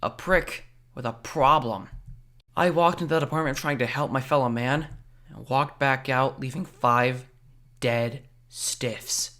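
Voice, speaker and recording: A man's voice: medium pitch, a little slow, fairly bright tone. A man playing an earnest, insecure teenage amateur superhero in a comic dub, speaking as narrator and in dialogue. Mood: confiding, uneasy. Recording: background music under the voice.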